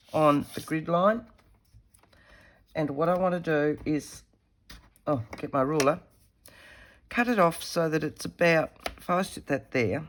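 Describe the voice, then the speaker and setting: A woman, slightly croaky from a head cold, chatting casually as she demonstrates a paper craft while working with her hands. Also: deep voice. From Australia. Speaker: craft tutorial host.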